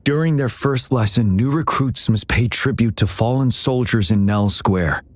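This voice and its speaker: An actor voicing a solemn young military general in a fantasy video game, speaking in a measured, earnest and formal way. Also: male voice, mid-range voice, dry recording, natural voice. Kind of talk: scripted video game dialogue.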